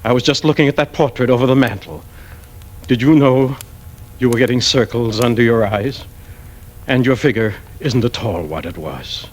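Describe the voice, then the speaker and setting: An actor playing a belittling, condescending husband in a 1940s radio suspense drama. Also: male voice, normal speed, lightly acted. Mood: sneering. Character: cruel, belittling invalid husband with smooth sociable front. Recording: noisy vintage radio recording with rumble.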